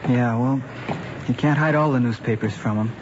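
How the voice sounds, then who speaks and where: A man's voice: medium pitch, moderate pace, muffled recording, close to an everyday voice. American lad of eighteen played by a man, mid-range voice, aggrieved and earnest, slangy radio drama, noisy old recording. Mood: resigned, sulky. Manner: plain, slangy, protesting. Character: aggrieved, earnest eighteen-year-old son losing his motorcycle.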